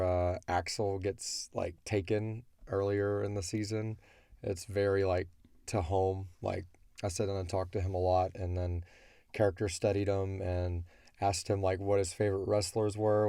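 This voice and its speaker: A man with a stuffy, congested voice chatting casually and low-key as a host answering fan questions. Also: little pitch movement, moderate pace, mellow, smooth, no echo. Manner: low-key, unenthusiastic-sounding. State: congested from a cold. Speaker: host of an audio drama Q&A show.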